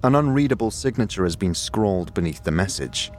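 A man narrating a scripted second-person audio drama in a deliberate storytelling manner. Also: fairly low pitch, animated, slight background noise. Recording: music behind the voice. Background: background music.

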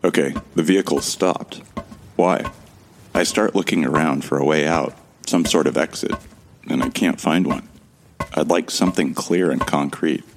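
A bewildered man with no memory , voiced by a male actor, tells his own story in a sci-fi audio drama. His voice is extremely deep and full of gravelly fry, with a wry, musing delivery. Faint noise sits behind it. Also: normal speed. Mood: wry, uneasy. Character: bewildered amnesiac man, wryly recounting his ordeal. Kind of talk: first-person scripted narration in a sci-fi audio drama.

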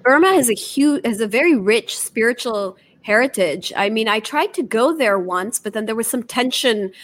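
A woman with a fairly high voice hosts a conversational interview. She asks open, reflective questions and mixes in personal asides between them. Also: expressive, moderate pace, a bit bright. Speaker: interview host.

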